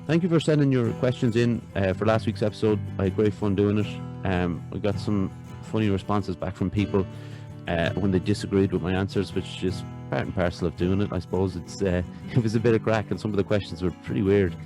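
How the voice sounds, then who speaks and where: Deep-voiced podcast host. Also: male voice, brisk, music in the background, low-quality recording. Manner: loose, filler-heavy. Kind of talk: casual podcast interview.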